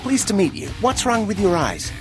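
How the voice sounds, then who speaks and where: A man's voice: high voice, big pitch swings, moderate pace, gravelly, music in the background, full character voice, low-quality recording. A man voicing an eager young robot newcomer in a video game, wide-eyed and courteous. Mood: curious. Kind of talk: scripted video game cutscene acting.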